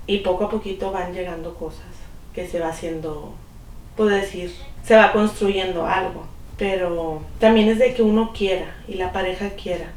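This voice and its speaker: A woman in her thirties being interviewed, speaking in an earnest, measured way. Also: mid-range voice, roomy sound, distant mic, a little hiss or hum, low-quality recording. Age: 30s. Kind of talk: documentary interview.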